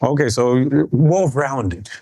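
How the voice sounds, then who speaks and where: A man hosting a podcast in a relaxed, conversational way, often repeating words as he goes. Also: medium pitch, slow pace, no echo, clean studio sound. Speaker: podcast host.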